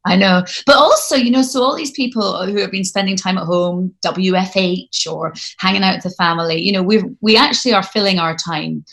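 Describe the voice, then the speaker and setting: A woman with a medium-pitched voice hosts a friendly radio interview. She talks casually, in an informal conversational style, as if catching up over a remote call. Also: fairly quick, few pauses, fairly bright tone. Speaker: radio host interviewing a guest.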